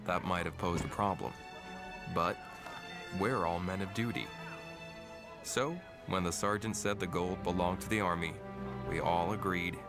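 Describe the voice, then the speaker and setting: Laid-back soldier narrator, low, tongue-in-cheek, scripted game story, heavy music. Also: male voice. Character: laid-back, wry soldier recounting his tale. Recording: loud music behind voice.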